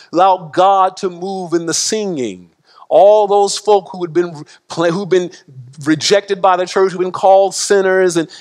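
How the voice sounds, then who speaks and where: A middle-aged man preaches in a church sanctuary, his voice sitting high for a man. He builds passion through repetition and gestures broadly at the pulpit, rising loud and falling soft in turn. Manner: impassioned preaching with repetition and wide swings in pitch and loudness. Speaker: pastor. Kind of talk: sermon.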